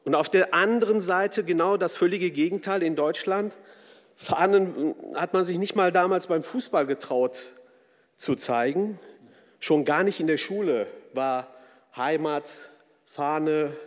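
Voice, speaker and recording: A man from a Kurdish background raised in Germany giving a formal, earnest talk with frequent hesitations. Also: on the high side. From Kurdish from Turkey, raised in Germany. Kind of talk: formal speech with fillers. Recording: long hall reverb.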